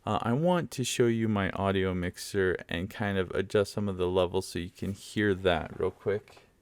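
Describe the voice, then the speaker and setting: A man's voice: sits low, moderate pace, clear, resonant tone, dry recording. A man talking casually and explaining things as he demonstrates a product in a review, recorded at home on a condenser mic. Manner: conversational, explanatory. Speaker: microphone reviewer. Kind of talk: casual product demo talk. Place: open room at home, in a dome house. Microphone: condenser mic on a mixer, fairly close.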